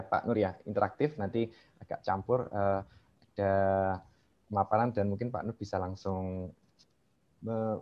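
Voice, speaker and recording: A low-pitched male moderator hosts an online lecture. He speaks politely and informally. The sound is slightly dull, as it comes through a video-call headset. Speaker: moderator of a webinar.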